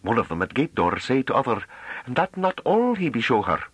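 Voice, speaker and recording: A man's voice: mid-range voice, big pitch swings, a little fast, fairly bright tone, slightly rough, no room sound, pitched above the performer's own voice. A man voicing a young unschooled farm woman who speaks in broken dialect, bashful but fierce. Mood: earnest. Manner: broken dialect speech, earnest and pleading.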